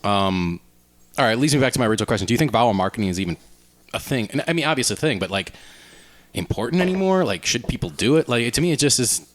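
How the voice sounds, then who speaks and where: A man's voice: mid-range voice, animated, fast. A man co-hosting a podcast, casually discussing pop culture in an opinionated, questioning way. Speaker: podcast co-host.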